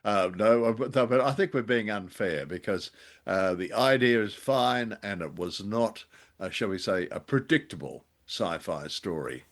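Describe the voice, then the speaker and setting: A man with a slightly low voice co-hosts a podcast. He reviews in a casual, chatty way, with a wry streak and a taste for jokey exaggeration. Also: expressive, a little slow. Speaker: podcast co-host.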